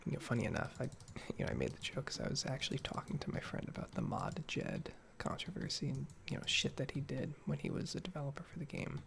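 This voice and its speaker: Whispering, very creaky man, slightly nasal. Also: mid-range voice, wildly swooping pitch, fast, hardly any pauses, slight background noise. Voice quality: hushed, breathy, mostly whispered. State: slightly nasal while getting over a cold-like illness. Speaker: gaming ASMR video creator. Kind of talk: soft-spoken, whispered ASMR gaming ramble.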